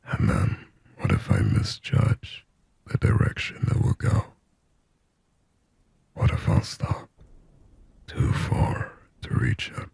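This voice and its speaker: A man voicing a shy, possessive sleep paralysis demon in an ASMR roleplay, in a halting, intimate, breathy whisper. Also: no room sound, full character voice. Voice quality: breathy, husky whisper with low gravelly creak. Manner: slow, halting, hushed, intimate.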